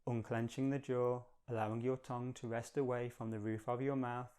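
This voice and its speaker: Smooth, even-toned male meditation guide. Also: medium pitch. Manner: calm, measured, gentle. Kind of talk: guided meditation, slow and soothing instruction.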